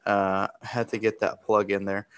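Casual webinar host, lowish voice. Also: male voice, little pitch movement, normal speed, a bit bright, clean tone. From Romania. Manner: casual, friendly, conversational. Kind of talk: hosting a webinar Q&A. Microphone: video call.

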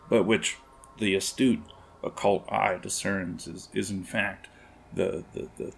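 A man improvising narration as game master of a tabletop game, with a halting, descriptive delivery. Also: fairly low pitch, gravelly, a little hiss or hum.

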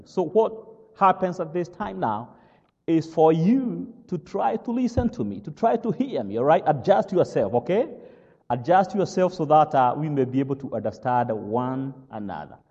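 Kenyan-accented pastor in a reverberant hall. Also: male voice, a little high, expressive, darkish tone, lo-fi sound. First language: not English. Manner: slow, halting with many "uh" fillers, checks "all right?". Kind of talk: sermon.

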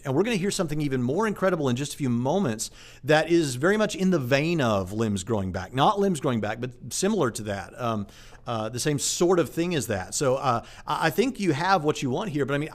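A man's voice: fairly high pitch, big pitch swings, a little fast. A man, a preacher and channel host, talking casually about faith and evidence, with frequent fillers and restarts. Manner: conversational with many fillers and restarts. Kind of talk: casual apologetics talk to camera.

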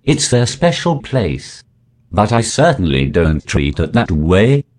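A man plays a crude, lewd wisecracker with a low voice and a slow, deadpan drawl. The pitch swings about as he drops dirty quips into a talk show. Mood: lewd, smug.

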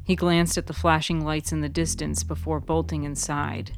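Woman reading a fiction podcast, low voice, measured, soft plucked string music behind. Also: flat delivery, vocal fry, poor audio. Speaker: author and narrator of a fiction podcast. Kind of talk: scripted story narration.

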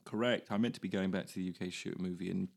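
A man's voice: deep voice, fairly even intonation, racing, gravelly. Easygoing young British man chatting. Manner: easygoing, chatty, self-deprecating. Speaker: musician guest. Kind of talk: casual chat.

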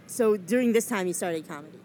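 A woman's voice: medium pitch, brisk, darkish tone, slight background noise. A woman hosting a casual interview, asking follow-up questions and giving short reactions. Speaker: interviewer host.

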